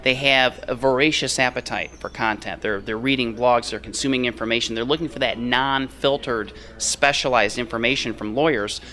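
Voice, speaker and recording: Bright-voiced man explaining as interview guest. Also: medium pitch, fairly even intonation, moderate pace, hardly any pauses. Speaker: interview guest, company founder and expert. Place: on location at a conference.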